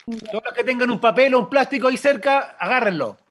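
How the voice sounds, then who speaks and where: A man speaks in a raised, husky voice on a video call. He moves between storybook narration and workshop talk. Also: very high-pitched, fast talker, a bit bright. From Chile. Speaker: narrator and theatre company member.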